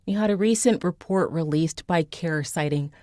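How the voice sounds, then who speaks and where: A woman TV interviewer asking a formal, measured question. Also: deep voice, dark tone, dry recording, studio quality.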